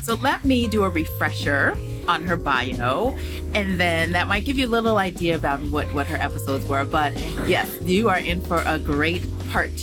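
A woman hosting a casual podcast interview from a small cafe, upbeat and excited, with many restarts. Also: on the low side, normal speed, over music. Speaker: podcast host.

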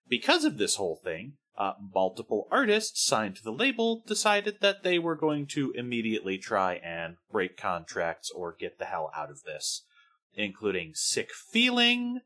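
A man's voice: medium pitch, bright, forceful tone. A man co-hosting a podcast, recounting music history with wry sarcasm. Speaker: podcast co-host. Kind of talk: casual podcast chat about music history.

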